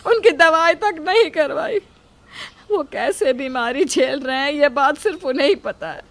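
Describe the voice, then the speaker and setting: Old mother-in-law, played by a woman storyteller, put-upon, pleading, higher-pitched, domestic tale. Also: lively intonation, measured pace. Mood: tearful anger. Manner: meek, pleading.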